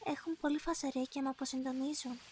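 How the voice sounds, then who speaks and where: A woman playing a gentle, sheltered young princess in an anime fan dub, speaking politely, formally and earnestly. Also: high-pitched, steady tone, slight background noise, natural voice. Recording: music behind the voice.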